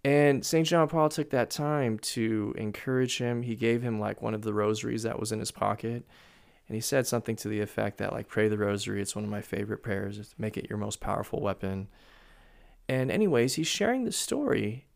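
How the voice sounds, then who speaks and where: A man in casual podcast conversation, telling a story with laughs and lively asides. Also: on the low side, fairly quick, gravelly. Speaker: guest speaker.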